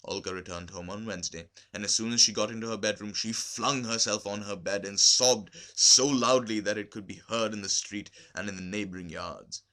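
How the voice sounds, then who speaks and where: A man narrating a literary short story from a script, expressive and deliberate, with emphasis on key words. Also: fairly low pitch. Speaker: amateur audiobook reader.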